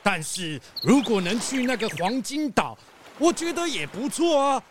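A man's voice: very high-pitched, very animated, unhurried, noisy background, heavily acted. A man playing a sly, boastful, treasure-hungry schemer coaxing others in a children's audio drama. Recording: music behind the voice.

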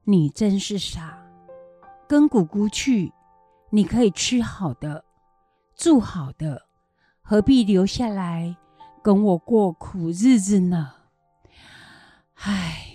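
A woman's voice: medium pitch, expressive, slow, long pauses, warm tone, no room sound, faint music behind. A woman acting a caring, self-sacrificing old grandmother in a radio drama, with a Taiwanese Mandarin accent. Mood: resigned.

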